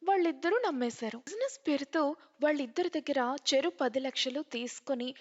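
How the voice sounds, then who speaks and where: Female narrator for scripted tales, very high voice, dull recording with long reverb. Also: animated, brisk.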